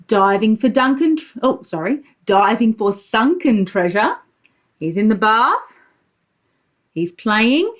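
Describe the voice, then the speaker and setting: A woman's voice: on the high side, sing-song, slow pace. A woman preschool teacher reading a storybook aloud to young children, expressively, explaining as she goes and putting questions to the listeners.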